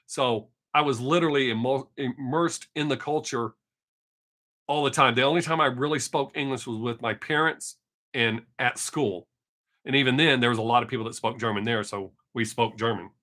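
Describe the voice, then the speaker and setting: A man's voice: medium pitch, sharp tone. A man in his fifties with a Texan accent, chatting casually and rambling on his live stream with some cursing. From Texas. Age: 50s. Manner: rambling, profane, chatty with viewers. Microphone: webcam mic.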